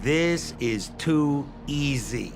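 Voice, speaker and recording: A man's voice: on the high side, animated, drawn-out words, full character voice. A man voicing a wry, reluctant young assistant detective in a video game, hesitant and exasperated in manner. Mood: doubtful. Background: music.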